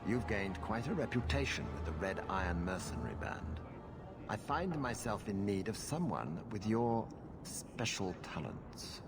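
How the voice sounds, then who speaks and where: A stern magistrate in a fantasy game, low in pitch yet with lively emphasis. He is formal and curt, and music plays clearly behind him. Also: measured pace. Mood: stern, imperious. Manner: formal, imperious. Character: haughty, stern male magistrate, curt and humorless. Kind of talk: video game dialogue acting.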